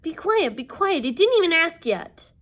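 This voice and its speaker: An ancient, bad-tempered hag played by a woman narrator in a fantasy audiobook. The voice leaps high and loud, screeching and bickering with frantic impatience. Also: very animated, moderate pace. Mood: shrieking anger. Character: three squabbling ancient supernatural hag sisters driving wildly.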